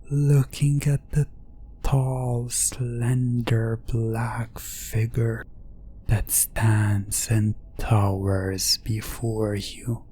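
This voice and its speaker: A man narrating a hypnotic ASMR story in the second person, breathy and often whispering, with long pauses and repeated phrases. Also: fairly low pitch, a little mellow, slight background noise.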